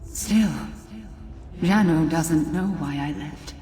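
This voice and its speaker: Game casting: actress for sorrowful female spirit, dark mellow tone, measured and regretful, echoey sound. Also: deep voice. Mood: wistful regret. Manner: slow, mournful, measured. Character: regretful, sorrowful female ghost mourning her beloved. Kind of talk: scripted game dialogue. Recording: echoey hall-like reverb, faint noise.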